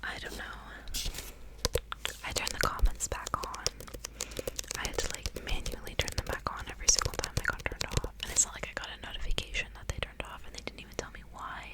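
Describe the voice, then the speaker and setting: A woman's voice: fairly quick, rough recording. Female ASMR host, fully whispered delivery, intimate and casual, lingers on repeated words. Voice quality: breathy unvoiced whisper. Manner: repeats words and short phrases as triggers. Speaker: ASMR video creator and reader. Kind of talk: ASMR, intimate casual chat and reading aloud.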